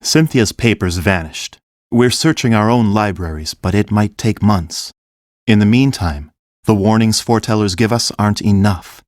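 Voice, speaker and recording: A male actor as a fantasy king with a low voice, gentle and earnest. He talks in a measured, grave manner, as a kind ruler would, in a dry, close audiobook recording. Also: no echo, lightly acted. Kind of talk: full-cast audiobook acting.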